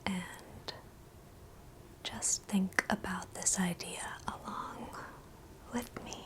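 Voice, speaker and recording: A woman whispering a scripted ASMR guided meditation in a breathy, gentle, soothing way, leaving long pauses between short phrases. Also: medium pitch, steady tone.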